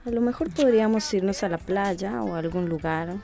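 A woman's voice: mid-range voice, a little fast, dark tone, lightly acted. A woman with a Costa Rican accent playing a tender, flirtatious woman in love in a radio soap opera. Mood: tender, hopeful. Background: clear music.

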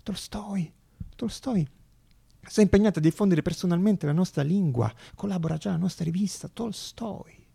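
An actor playing a small, idealistic man who pleads earnestly and haltingly in a hushed, theatrical radio monologue. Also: high voice, gravelly, husky, exaggerated voice. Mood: hushed pleading. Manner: hushed, halting, insistent, with whispered phrases.

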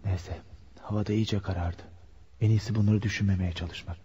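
A man's voice: on the low side, fairly even intonation, a little fast, mellow, husky. A man acting a sincere, impulsive, lovestruck young working-class man in a Turkish radio drama. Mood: brooding. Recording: muffled lo-fi radio recording, music behind.

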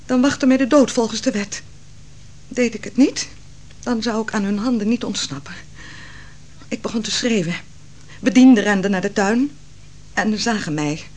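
A woman acting an anguished, virtuous wife in a Dutch radio play, telling her story in a solemn, dramatic manner. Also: on the high side. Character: Susanna, anguished virtuous wife of a royal counsellor.

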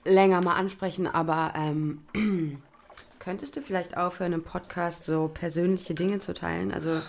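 A woman's voice: on the low side, steady tone, normal speed, vocal fry. An actress playing a bitter, volatile former athlete in her thirties in a naturalistic audio drama, with a blunt and moody delivery. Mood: uneasy. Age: 30s. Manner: blunt, moody, self-deprecating.

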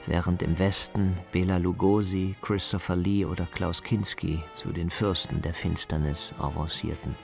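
A man's voice: deep voice, little pitch movement, normal speed, vocal fry, few pauses, faint music behind. A man narrating a radio documentary from a script, as its author telling the story.

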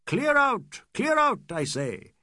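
A man reading an excitable, proud young Indian man who shouts in impassioned, quick rising outbursts. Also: extremely high pitch, dry recording, studio quality, voice pushed up, exaggerated voice. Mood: heated.

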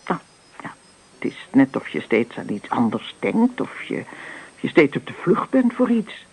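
An actress playing a middle-aged farm mother in a Dutch radio play, anxious, hesitant and gently admonishing. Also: a bass voice, very animated, moderate pace, gravelly, husky, heavily acted, poor audio. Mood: anxious, reproachful.

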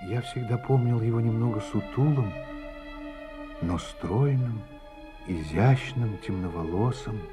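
An actor narrating a radio play as a man looking back on his life, in a slow, reflective manner that falls to a hush at the ends of phrases. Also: on the low side, close to an everyday voice. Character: adult man recalling his youth, reflective and grave. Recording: studio narration over music. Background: music score.

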